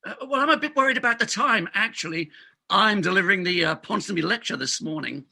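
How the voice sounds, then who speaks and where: A man's voice: high voice, animated, quick pace, gravelly, pushed and bright, exaggerated voice. A man playing a pompous, evasive middle-aged London doctor, blustering and stammering through a comic stage play reading. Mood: defensive, awkward.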